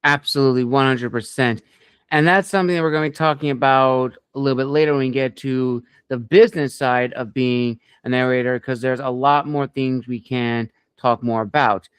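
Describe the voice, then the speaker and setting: A man hosting an interview, speaking conversationally with measured, wordy lead-ins to his questions. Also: mid-range voice, steady tone, smooth, dry recording. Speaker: interview host.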